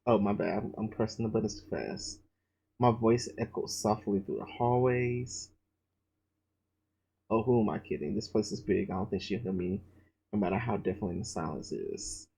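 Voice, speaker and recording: A man's voice: on the low side, moderate pace, gravelly, slightly rough. A man reading a visual novel aloud, breaking off into profane, slangy joking asides. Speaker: let's play gamer. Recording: loud background noise.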